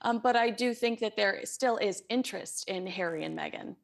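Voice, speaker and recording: A woman's voice: medium pitch, moderate pace, creaky. A woman with an American accent, speaking conversationally as a guest commentator in a news interview. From United States. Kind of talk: conversational punditry.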